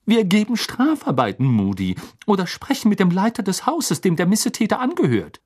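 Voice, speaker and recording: A male reader acts a stern older female professor of magic in an audiobook. The voice jumps high and swoops with indignation. It sounds high-strung and appalled. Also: huge pitch swings, a little fast, darkish tone, breathy edge, exaggerated voice.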